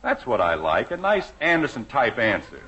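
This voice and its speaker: A man's voice: mid-range voice, huge pitch swings, moderate pace, sharp tone, exaggerated voice. An American actor playing a middle-aged, exasperated father with dry sarcasm in a 1950s radio sitcom. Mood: wry, suspicious. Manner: dry sarcasm, mounting impatience. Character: exasperated, wry suburban husband and father. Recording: muffled, noisy old radio recording.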